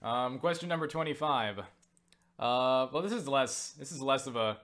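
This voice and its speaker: A young man answering viewer questions in a casual vlog, rambling with lots of fillers and restarts, swearing offhand and getting animated when excited. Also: medium pitch, unhurried.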